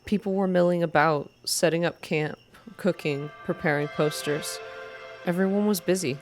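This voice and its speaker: A woman's voice: fairly low pitch, moderate pace. A woman reading a story game aloud as scripted narration in an ASMR style, with music behind her. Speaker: reader of a visual novel game.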